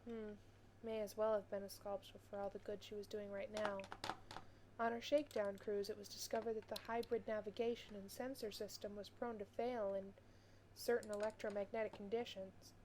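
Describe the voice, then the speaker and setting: An earnest female space officer voiced by an actress narrates an audio drama. Her delivery is measured and wistful, in a mid-range voice. There is some faint noise with clicks and typing behind her. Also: medium pitch, little pitch movement, a little mellow, natural voice, rough recording.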